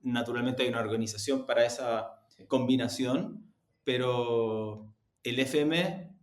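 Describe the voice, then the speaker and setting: Male interviewee, Chilean accent, mid-pitched voice, explains points emphatically and rephrases, roomy echoing recording. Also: a little slow. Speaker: media executive being interviewed. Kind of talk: conversational interview answers.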